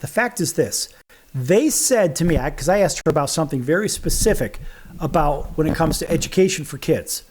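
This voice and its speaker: A man hosting a sports talk show, animated and firing off rhetorical questions. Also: on the high side, brisk, a little hiss or hum. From United States. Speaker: host.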